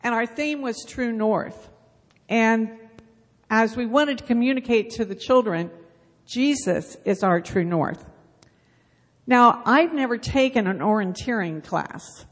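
A woman's voice: on the high side, slow pace. A middle-aged woman leading a church Bible school, speaking casually into a microphone and telling self-deprecating stories. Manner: chatty, self-deprecating storytelling. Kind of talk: casual church announcement and talk. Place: church sanctuary. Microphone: handheld microphone. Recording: long reverb of a church hall.

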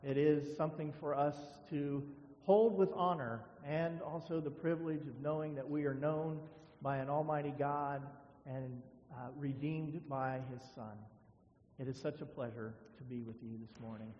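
Higher-voiced male pastor, muffled echoey hall. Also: steady tone, moderate pace. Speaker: pastor welcoming the congregation. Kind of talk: informal welcome at a church service. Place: inside a church. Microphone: church microphone.

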